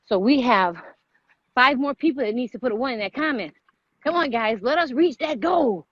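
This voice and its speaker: Husky, higher-pitched woman in motivational vlog. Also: dull audio. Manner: repetitive, exhorting. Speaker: vlog host. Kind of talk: casual motivational fitness talk. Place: outdoors.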